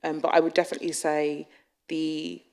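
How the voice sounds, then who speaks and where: A woman's voice: low-pitched, level tone, moderate pace, gravelly, a little mellow. A young woman apprentice giving a chatty talk to an audience, with frequent ums. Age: young adult.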